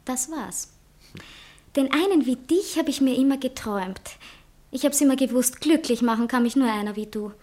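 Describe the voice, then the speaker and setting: An actress playing a self-important young divorced poetess in a satirical stage comedy, speaking theatrically and earnestly. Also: female voice, high voice, normal speed, darkish tone. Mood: insistent, adoring. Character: self-dramatizing young divorced poetess defending herself to her fiancé. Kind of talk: stage comedy acting in a radio play manner.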